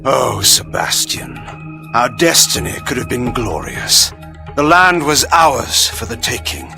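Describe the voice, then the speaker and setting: An actor playing a proud, vengeful vampire lord in a video game, declaiming in a cold, formal and theatrical manner. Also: male voice, medium pitch. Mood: grim, regretful. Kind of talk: dramatic video game voice acting.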